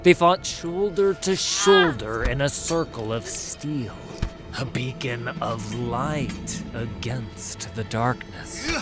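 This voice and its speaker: A man narrating a fantasy story as a dramatic audiobook, with measured, ominous storytelling. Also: medium pitch, lively intonation, over loud music.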